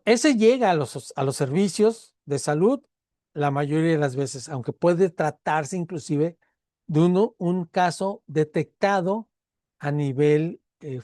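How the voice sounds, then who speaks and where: A man's voice: a little high, mellow, dry recording, studio quality. A man with a Mexican Spanish accent giving a university lecture in a conversational way, acting out patient dialogues and checking in with students. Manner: conversational, acts out patient dialogues, frequent fillers and tag questions.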